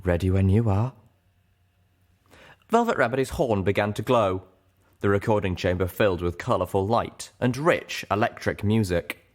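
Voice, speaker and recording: A man's voice: on the low side, lively intonation, moderate pace. A man reading a first-person story aloud as an audiobook narrator, in scripted narration.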